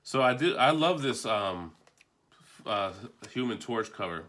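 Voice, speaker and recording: Bright-voiced man, offhand and casual. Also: medium pitch, lively intonation, measured pace. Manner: offhand, rambling, mildly profane. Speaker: comic book collector reviewing a book. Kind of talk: casual unscripted video review.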